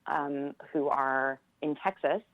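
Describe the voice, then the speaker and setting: A low American female voice comes through a thin, phone-like connection with no room echo. She talks as an interview guest, conversational, earnest and appreciative. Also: takes it slowly. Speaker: theater professor, interview guest. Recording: dull, phone-like band-limited line.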